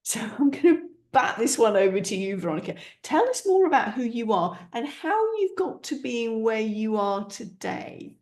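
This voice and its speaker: A woman's voice: on the high side, big pitch swings, moderate pace, few pauses, darkish tone. A woman hosting a podcast, chatty and encouraging, with playful asides to listeners. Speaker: podcast host. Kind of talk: upbeat podcast intro.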